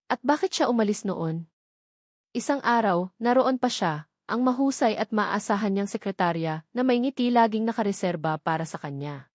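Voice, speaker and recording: A woman's voice: mid-range voice, darkish tone, no echo, studio quality. A woman with a Filipino accent reading a scripted romantic drama as a storyteller. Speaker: audio drama narrator.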